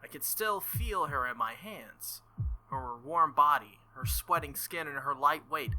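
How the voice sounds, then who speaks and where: Bright-voiced man reading a visual novel. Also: a little high, unhurried, soft background music, low-quality recording. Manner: playful, joking asides and laughter. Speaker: let's play streamer.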